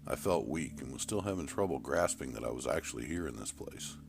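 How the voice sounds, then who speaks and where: Deep-voiced man narrating a story, lively pitch. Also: fairly quick. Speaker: author-narrator. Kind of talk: first-person short story narration. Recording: clear background noise, ambience.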